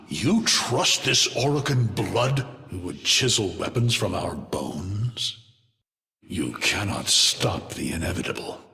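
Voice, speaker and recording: An actor playing an ancient, vengeful alien destroyer of worlds in a video game, a betrayed father who speaks in slow, solemn, menacing and grandiose pronouncements. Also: low-pitched, gravelly, bright, forceful tone, exaggerated voice. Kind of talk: dramatic video game villain monologue. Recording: long cavernous reverb.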